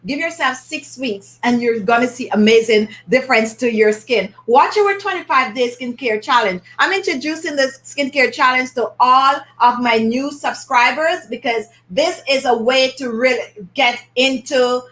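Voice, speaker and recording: A woman's voice: high-pitched, moderate pace, few pauses, a bit bright. A Jamaican woman hosting a beauty live stream, chatting casually and outspokenly as she reads and answers viewers' comments. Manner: outspoken, laughs often, reads comments aloud. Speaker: beauty channel host.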